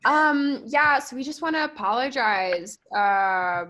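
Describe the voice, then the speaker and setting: A woman's voice: a little high, slow, a crowd in the background. A woman doing casual improv comedy chat on a podcast. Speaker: podcast cast member.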